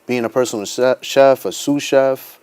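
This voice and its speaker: A man's voice: mid-range voice, normal speed, a little mellow, slight background noise. A man giving casual interview answers in a plain-spoken, informal way, often saying like and you know. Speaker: interview guest, cheesecake baker and business owner.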